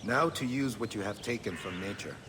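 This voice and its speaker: An actor playing a calm, serious hunter and teacher in a video game, speaking tersely and deliberately. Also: male voice, on the low side, noisy background, close to an everyday voice. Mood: calm, instructive. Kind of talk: dramatic video game cutscene acting.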